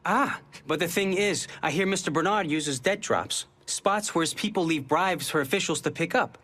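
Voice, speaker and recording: Cheeky higher-voiced newspaper reporter. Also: male voice, moderate pace, a bit bright. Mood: wry, eager. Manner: wry, flippant, chatty. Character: cheeky, fast-talking male muckraking newspaper reporter. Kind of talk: video game cutscene acting.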